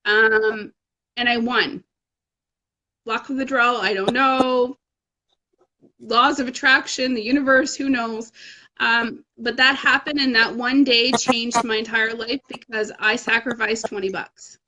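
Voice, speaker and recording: A woman in her thirties talks in a casual, motivational way, frank and self-deprecating. Her voice sits a little high and sounds bright and forceful. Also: fairly even intonation, unhurried, poor audio. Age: 30s. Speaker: fitness challenge group coach.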